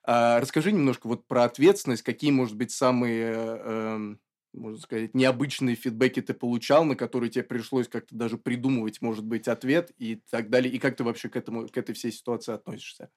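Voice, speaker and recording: A man's voice: medium pitch, fairly even intonation, a little mellow. Hesitant male podcast interviewer. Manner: hesitant, pausing while forming questions. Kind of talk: casual interview.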